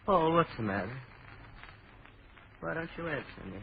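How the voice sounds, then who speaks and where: A man playing a frightened, high-strung traveller in an old radio horror drama, agitated and pleading as he repeats himself. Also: lively intonation, moderate pace, gravelly. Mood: anxious, pleading. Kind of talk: 1930s-40s radio horror acting. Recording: muffled old radio recording, some noise.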